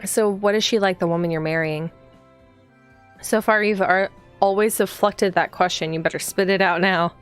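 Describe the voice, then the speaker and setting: A woman livestreaming a visual novel, reading the game text aloud and chatting casually as she thinks out loud and reacts to the story. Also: medium pitch, steady tone, moderate pace, clean tone. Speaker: streamer playing a visual novel. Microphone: streaming microphone. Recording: background music behind the voice. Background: game music.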